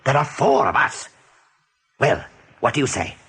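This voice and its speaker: An actor playing a steady, kindly rabbit major in a radio play, measured and resolute. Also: male voice, mid-range voice, husky, full character voice. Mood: urgent, rallying.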